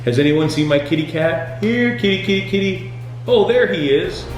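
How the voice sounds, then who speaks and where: A man delivering scripted comedic tour-guide patter as a boat skipper, with deadpan, punning jokes. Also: high voice, normal speed. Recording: very echoey room, music underneath.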